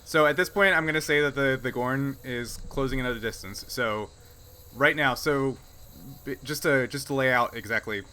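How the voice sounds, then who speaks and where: A man's voice: medium pitch, moderate pace, pushed and bright, a little hiss or hum. A man running a tabletop role-playing game, casually narrating and explaining the rules. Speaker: game master.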